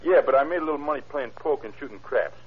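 A surly drifter under questioning in a vintage radio crime play. He is curt, defensive and defiant, with a husky voice. The recording is dull and muffled. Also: fairly high pitch, animated, very fast. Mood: guarded, curt. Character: defiant, surly male drifter suspected of a shooting. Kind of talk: old-time radio crime drama acting.

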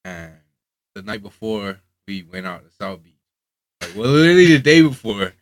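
A man's voice: on the low side, lively intonation, normal speed, slight background noise. A young man from the Bahamas, a radio show guest, talking loosely and casually with many fillers. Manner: loose, laid-back, lots of "like" fillers. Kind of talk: casual interview chat.

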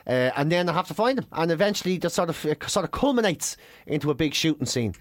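A man from Ireland with an Irish accent, co-hosting a casual podcast, talking in an animated, ranting way with some swearing. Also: a little high, fast talker, no echo, studio quality. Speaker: podcast co-host.

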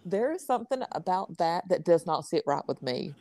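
A woman's voice: lowish voice, very animated, moderate pace, mellow. A woman with a Southern US accent in casual chat as a podcast co-host, telling stories in an emphatic, dramatic, gossipy way.

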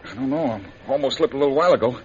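An actor playing a confident, reassuring adventurer hero in an old radio serial, steady and plain-spoken. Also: male voice, mid-range voice, rapid-fire, husky, natural voice. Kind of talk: 1930s radio serial acting. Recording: muffled old radio recording with hiss.

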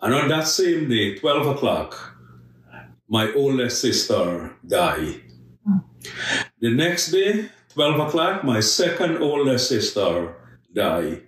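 A man from Guyana, a missionary guest telling his life story in a podcast interview, slow and earnest with emphatic stresses. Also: mid-range voice, sing-song. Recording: echoey room, voice from a distance.

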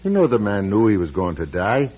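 An actor playing a blunt, weary old priest in a radio drama, husky and sardonic, on a muffled recording. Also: male voice, fairly low pitch, very animated, brisk, darkish tone, slight background noise. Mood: subdued. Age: older. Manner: gruff, sardonic, plain-spoken. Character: blunt, weary, grumbling old Irish-American parish priest.

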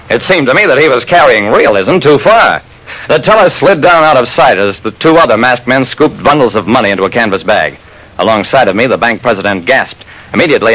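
A man's voice: medium pitch, sharp tone, low-quality recording. A man narrating a classic radio detective drama as its lead, in a wry, dramatic first-person storytelling manner.

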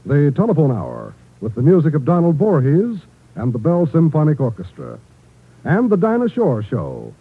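An American man announcing in a formal, dramatic 1950s radio style from a script. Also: fairly high pitch. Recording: muffled old radio broadcast.

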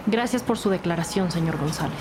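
A woman's voice: lowish voice, expressive, brisk, noisy background, close to an everyday voice. An actress playing a commanding female police detective who leads an investigation in an audio drama. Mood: brisk, questioning.

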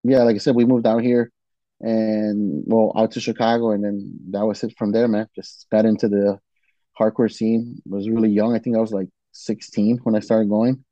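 A man from Mexico talks in a relaxed, easygoing podcast chat, dropping "dude" and casual swearing. His voice sits warm and dark. The sound is dull and lo-fi, with a dry, close feel. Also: lowish voice, normal speed, no room sound. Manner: laid-back, swears freely, says "dude" often. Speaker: guest, death metal vocalist.

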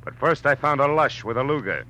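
An actor with an American accent playing a tough, wisecracking private detective in a 1940s radio drama, wry and clipped. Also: male voice, medium pitch, animated, a little fast, pushed and bright, low-quality recording. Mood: hard-boiled, wry. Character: hard-boiled, wisecracking male Los Angeles private detective. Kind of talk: 1940s radio acting, noir narration. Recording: old radio broadcast recording.

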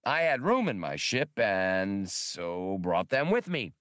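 A man reading aloud and voicing an outgoing female alien primate wheeler-dealer in a high, lilting put-on voice. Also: very animated, takes it slowly, fairly bright tone, dry recording, clean studio sound, heavily acted. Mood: sly.

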